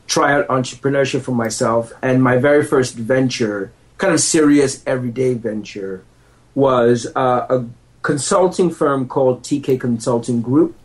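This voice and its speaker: A man in his thirties, mid-pitched, talks loosely and laughs at himself as a podcast guest. He restarts sentences often and rambles a bit before getting to the point. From United States. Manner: self-deprecating, laughs easily, rambling restarts. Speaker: podcast guest, lawyer and entrepreneur. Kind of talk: relaxed conversational interview. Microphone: handheld microphone.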